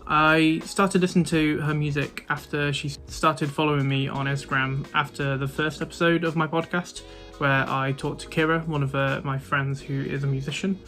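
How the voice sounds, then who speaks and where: A man's voice: on the high side, flat delivery, moderate pace, few pauses, pushed and bright, faint music behind. A man hosting a podcast, introducing the episode in a friendly, slightly hesitant way with fillers. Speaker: podcast host.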